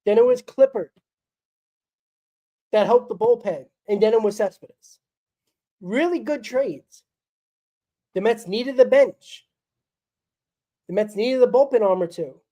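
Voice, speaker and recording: A woman's voice: on the high side, lively intonation, a little slow, pauses often, dark tone. A woman hosts a solo postgame fan show, ranting bluntly and with exasperation while sick, her voice crackling and coughing.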